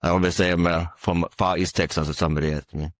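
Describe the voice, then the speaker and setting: A man with a very low voice presents at an industry conference session. He speaks haltingly, stopping for frequent uhs. The sound is dry and close. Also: flat delivery, no room sound. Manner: halting, many filler uhs. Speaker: conference panelist.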